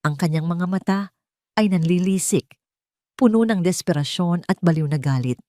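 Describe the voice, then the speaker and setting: A woman telling a first-person story as a scripted drama, with an emotive and deliberate delivery. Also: fairly low pitch, warm tone, dry recording, studio quality. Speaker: audio story narrator.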